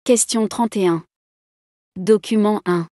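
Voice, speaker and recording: A woman's voice: mid-range voice, slow pace, warm tone, no room sound, clean studio sound. A woman reading scripted test instructions as an exam announcer.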